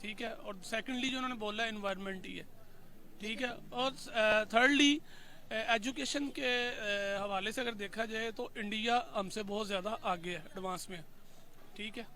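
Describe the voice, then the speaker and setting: A man's voice: high-pitched, normal speed, bright, forceful tone. A man agreeing in an interview, ticking off points and adding "okay".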